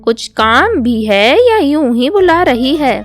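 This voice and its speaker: A woman's voice: sits high, big pitch swings, takes it slowly, faint music behind, heavily acted. A woman voicing a middle-aged mother, exasperated, sensible and scolding, in a storybook tale. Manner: scolding, no-nonsense.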